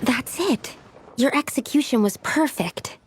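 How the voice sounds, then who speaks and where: A woman's voice: high-pitched, animated, a little slow, a little hiss or hum. A woman playing a studious, precise young alchemist in a video game, speaking methodically and earnestly. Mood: analytical. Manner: methodical, explanatory, slightly hesitant.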